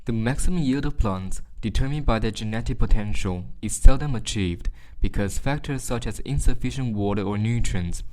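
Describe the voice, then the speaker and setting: A man reading short exam passages aloud as a narrator, in a measured, formal manner. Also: medium pitch, darkish tone.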